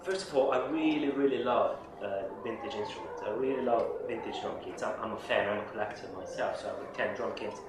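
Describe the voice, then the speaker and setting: A man's voice: lowish voice, brisk, few pauses. A man from Hungary, speaking English as a second language, answering interview questions at a busy drum fair with enthusiasm and many restarts. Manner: enthusiastic, repeats words while searching for them. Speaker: interviewee drummer. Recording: echoey hall from far off, loud crowd noise.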